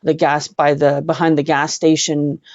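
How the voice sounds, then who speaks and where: Middle aged canadian lady, pretty deep voice, halting heartfelt interview storytelling, dull dry online audio. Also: flat delivery, darkish tone, no echo. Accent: western Canadian. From Edmonton, Canada. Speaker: interview guest.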